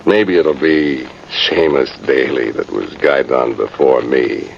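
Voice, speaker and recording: A man's voice: a bass voice, slow pace, gravelly, full character voice. An actor, a man, playing a folksy, rueful cavalry sergeant rambling through a radio drama monologue. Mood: wistful, homesick. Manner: folksy, ungrammatical, rambling soldier talk. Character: folksy, rueful old-time cavalry sergeant, a ghostly soldier. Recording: muffled, hissy vintage radio recording.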